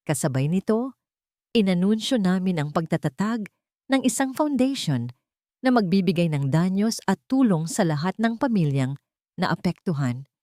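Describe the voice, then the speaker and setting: A woman's voice: lowish voice, dark tone, no echo, studio quality, natural voice. Woman reading as a formal family lawyer. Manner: formal, official announcement delivery.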